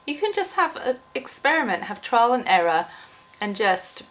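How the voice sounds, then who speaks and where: A woman's voice: on the high side, very animated, normal speed, a bit bright, poor audio. A young woman with a cold, chatting in an unscripted, rambling vlog, full of ums and restarts. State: has a cold, losing her voice. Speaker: small YouTuber.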